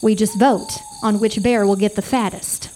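A woman's voice: medium pitch, steady tone, moderate pace, mellow, a little hiss or hum, lightly acted. A woman acting a brash, earnest wildlife photographer in a live stage comedy, emphatic and self-important. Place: small theater, live performance.

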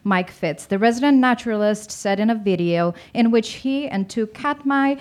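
A woman's voice: a little high, normal speed, a little mellow. A woman reading a scripted news story. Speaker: news reader. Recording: faint background noise.

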